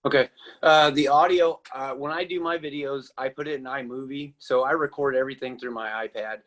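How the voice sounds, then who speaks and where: Dude on a video call talking about his home video setup, relaxed and rambly. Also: medium pitch, a little fast. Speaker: panel guest, video creator. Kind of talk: casual panel answer.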